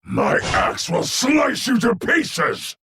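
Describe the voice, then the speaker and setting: A man voicing a furious, bloodthirsty axe warrior in a video game, rough and aggressive. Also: very high-pitched, huge pitch swings, takes it slowly, pushed and bright, shouting, full character voice. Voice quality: raspy, rough. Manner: aggressive battle roaring. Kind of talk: video game hero voice lines.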